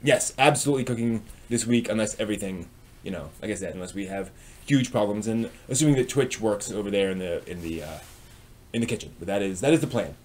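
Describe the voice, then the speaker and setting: A man's voice: on the low side, fast talker, darkish tone. A man hosting a live stream, chatting casually and ramblingly as he responds to viewers. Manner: rambling, reacting to chat as it comes.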